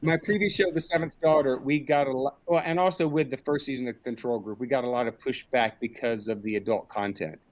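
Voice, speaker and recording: A man's voice: mid-range voice, fairly even intonation, fairly quick, few pauses. Middle-aged man being interviewed. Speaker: interviewed director and audio drama creator. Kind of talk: conversational interview. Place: indoor room at home, on a group video call.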